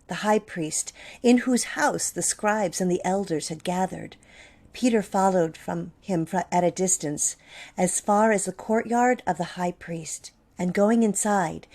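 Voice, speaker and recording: A woman narrating a scripture passage in a church drama, reading steadily and clearly. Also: mid-range voice, darkish tone.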